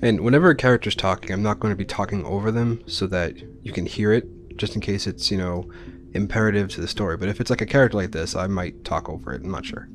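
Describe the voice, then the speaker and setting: A man's voice: lowish voice, quick pace, faint music behind. A man giving informal, explanatory commentary as he hosts a video game playthrough. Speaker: Let's Play host. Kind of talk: casual gameplay commentary.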